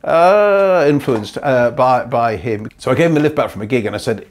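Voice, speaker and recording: A man's voice: medium pitch, lively intonation, moderate pace. Middle-aged english comedian guy, playful, telling stories, jumps into silly voices, little studio room. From Newcastle, northeast England. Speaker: comedian guest. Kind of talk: animated comic storytelling. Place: small photo studio, indoors.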